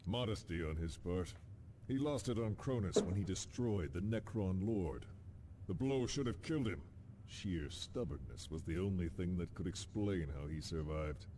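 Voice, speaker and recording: An actor playing a seasoned, proud space marine sergeant in a video game, firm and measured. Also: male voice, deep voice, animated, warm tone. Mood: admiring. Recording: noisy ambience behind.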